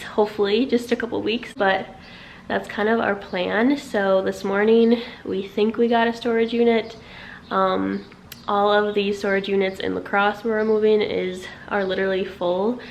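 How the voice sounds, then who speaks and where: A young woman from the with a stuffy, raspy voice from a cold, chatting casually to camera at home with lots of fillers. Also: medium pitch, steady tone, normal speed, slight background noise. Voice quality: raspy from illness. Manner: chatty, rambling with many fillers. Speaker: vlogger. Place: apartment at home, indoors.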